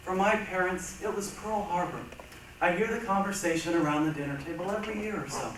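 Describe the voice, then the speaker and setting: A man's voice: high voice, a little fast, fairly bright tone. Man acting a reflective man, heavily echoing. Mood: declaiming, reflective. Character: reflective married man recounting memories, earnest and philosophical. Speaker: reader in a reader's theatre performance. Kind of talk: staged dramatic monologue reading. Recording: very echoey, noisy hall, distant mic.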